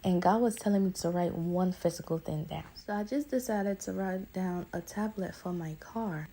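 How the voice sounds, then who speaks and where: A woman's voice: lowish voice, moderate pace, warm tone. A woman in her early twenties chatting casually and directly to viewers in a personal vlog. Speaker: vlogger. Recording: music behind the voice. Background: background music.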